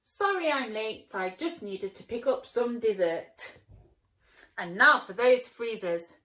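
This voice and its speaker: A heroic potato voiced by a woman takes charge in a children's storybook. The delivery is bold and cool, in a fairly high, confident voice. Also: expressive, takes it slowly, bright, forceful tone, reverberant, full character voice, poor audio. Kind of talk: children's storybook read-aloud.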